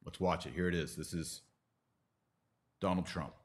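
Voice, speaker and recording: A man's voice: sits low, fairly even intonation, normal speed. An American man hosting a podcast, giving emphatic political and religious commentary and explaining point by point. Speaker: podcast host.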